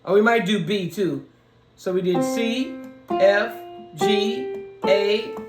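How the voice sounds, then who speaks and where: Raspy, deep-voiced home piano tutor, unhurried and casual, with piano in a roomy room. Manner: slow, talks through each step, sings scale notes on "ho". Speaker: piano learner teaching beginners. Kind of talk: casual step-by-step music lesson. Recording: roomy echo with piano playing.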